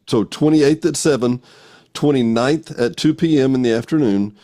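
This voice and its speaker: A man's voice: mid-range voice, moderate pace, warm tone. A man hosting a conversational podcast interview, responding to his guest. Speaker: podcast host.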